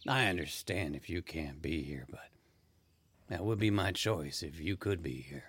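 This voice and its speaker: An actor playing a weary, wry dying man in hospice care in an audio play, speaking in a halting, wistful way. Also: very deep voice, lively intonation, a bit bright, breathy edge, exaggerated voice. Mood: calm, wistful.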